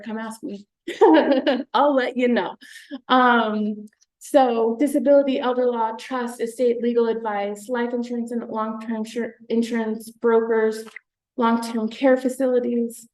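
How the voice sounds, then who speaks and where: A woman's voice: fairly high pitch, darkish tone. A woman giving an informal talk, chatty and full of fillers, running through lists of resources. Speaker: conference presenter. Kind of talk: informal presentation. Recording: echoey room.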